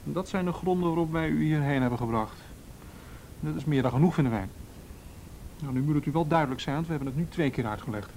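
An actor in a radio play playing a firm, patient policeman, measured and matter-of-fact. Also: fairly high pitch, a little mellow, lightly acted. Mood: stern, insistent. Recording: faintly noisy radio drama recording.

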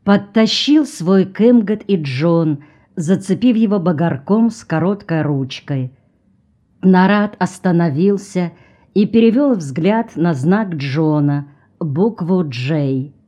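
A woman reading a literary novel aloud as an audiobook, calm and even, lifting her voice a little for the characters' speech. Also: on the low side, takes it slowly. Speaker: audiobook reader.

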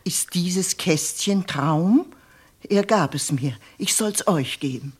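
Brief: loyal maid, bold and fretful, very husky breathy voice, vintage radio-play urgency. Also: female voice, fairly low pitch, animated, slow, darkish tone, full character voice. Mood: agitated, urgent. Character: anxious, bold, outspoken loyal maidservant of a lady. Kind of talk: 1950s German radio drama acting. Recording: 1950s radio studio recording.

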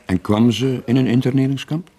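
An actor playing a gentle, laconic middle-aged sailor in a radio drama, speaking tersely. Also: male voice, mid-range voice, fairly even intonation, brisk, slight background noise, lightly acted. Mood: gentle, attentive. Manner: terse, understated replies. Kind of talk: 1980s Dutch-language radio drama acting.

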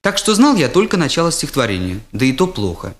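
A man performing a humorous first-person story as its storyteller, with expressive comic timing. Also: on the high side, fast.

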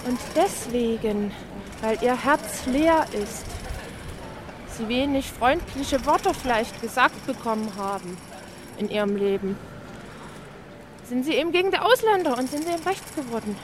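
A woman's voice: fairly high pitch, animated, measured pace. A woman from Dresden speaks spontaneously and emphatically in a street interview, often repeating herself. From Dresden, eastern Germany. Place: city street, outdoors. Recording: noisy outdoor street recording.

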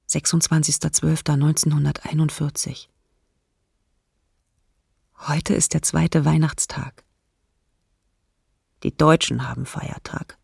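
Radio drama: bleak woman teacher diarist, very husky, creaky, sober delivery. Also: low-pitched, dark tone, no room sound, studio quality, full character voice. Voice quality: gravelly, raspy. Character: female teacher writing a bleak wartime hunger diary. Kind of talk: radio docudrama diary reading.

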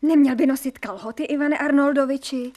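Radio drama: actress as a chattering young maid, high and excitable. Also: female voice, natural voice. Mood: alarmed. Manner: chattering, exclaiming. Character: young female housemaid, gossipy and easily shocked.

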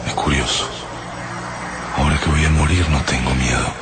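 An Argentine actor playing a desperate captive man who thinks aloud gravely in a radio drama. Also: a bass voice, steady tone, moderate pace, heavy background noise. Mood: grim, resigned. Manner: grave, anguished, talking to himself. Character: desperate captive man, Juan, facing alien invaders. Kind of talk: radio drama acting, inner monologue. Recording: loud music behind the voice. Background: loud dramatic music.

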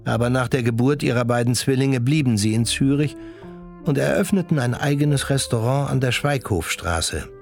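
A man's voice: mid-range voice, moderate pace, vocal fry. Male radio drama narrator over music. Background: music under the voice.

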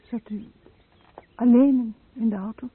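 A woman's voice: a little high, fairly even intonation, measured pace, slightly rough. An actress playing a troubled, defensive yet polite female patient, hesitant and emotional, in a radio drama. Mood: polite, curious. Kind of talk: Dutch radio drama acting. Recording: noisy phone-like old radio recording.

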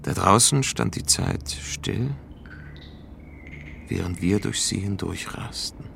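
An actor playing a world-weary space traveller, narrating a radio drama in a dry, reflective, wry way. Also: male voice, sits low, slow pace, creaky, a bit bright, a little hiss or hum, heavily acted. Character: world-weary male space traveller looking back on his journey.

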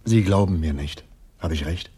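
An actor playing a wounded, resigned gentleman with a grievance in a German radio crime comedy. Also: low voice, normal speed, breathy edge.

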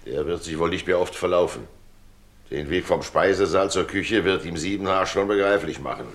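An actor playing a jovial middle-aged ex-actor innkeeper in a German radio play, declamatory, pompous and playful. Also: male voice, low-pitched, normal speed, close to an everyday voice. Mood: ironic.

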